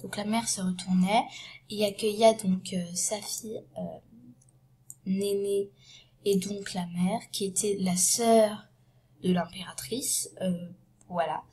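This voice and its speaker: A woman with a cold talks through a story as she sets out her props, casual and unscripted. She stumbles and restarts, leans on fillers, and keeps sinking into a hushed, breathy half-whisper. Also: mid-range voice, fairly even intonation, slow. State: has a cold. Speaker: vlogger retelling a story.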